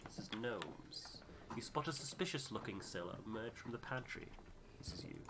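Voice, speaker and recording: A man's voice: on the low side, animated, moderate pace, background noise. A man hosting a game playthrough, narrating scenes and explaining the rules.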